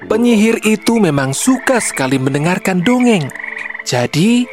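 A woman's voice: mid-range voice, big pitch swings, normal speed. A woman narrating a scripted children's story as a storyteller. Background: faint music.

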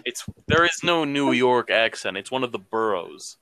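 A man's voice: mid-range voice, animated, moderate pace, sharp tone, low-quality recording. A man playing a sardonic, exasperated male demon in an improvised audio roleplay, sarcastic and mock-ominous. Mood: pedantic, dry.

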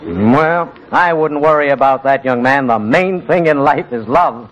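A man's voice: medium pitch, a little slow, fairly bright tone, dull audio, natural voice. A man playing a solemn, paternal advice counselor in a 1940s radio comedy. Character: pompous, soothing male lovelorn advice counselor.

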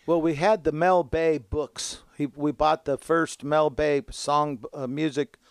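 A man's voice: fairly high pitch, steady tone, unhurried, clear, resonant tone, no room sound, clean studio sound. A man in his seventies, a musician casually recalling his younger days in an interview. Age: 70s. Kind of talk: casual reminiscing.

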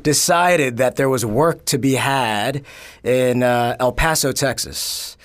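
Man telling stories in a relaxed, measured way. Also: mid-range voice. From born in Korea, raised in the Bronx. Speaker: podcast guest. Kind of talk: casual podcast conversation.

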